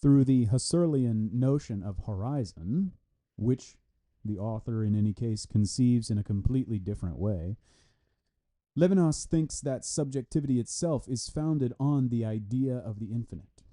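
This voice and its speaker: Hombre de voz muy oscura y apagada. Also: male voice, medium pitch, animated, normal speed, mellow. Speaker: reader of a philosophy article. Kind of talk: read-aloud scholarly text with brief explanatory asides.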